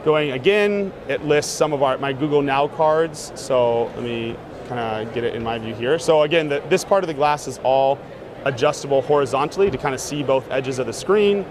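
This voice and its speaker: Relaxed man demoing a gadget outdoors. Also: medium pitch, normal speed. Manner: relaxed, explains with fillers. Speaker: interviewee demonstrating a gadget. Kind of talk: casual demo talk. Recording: noisy outdoor street sound.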